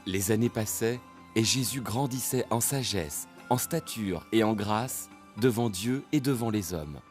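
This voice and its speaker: A male narrator tells a Bible story in a lowish voice with formal, measured phrasing. Soft music plays behind the scripted telling.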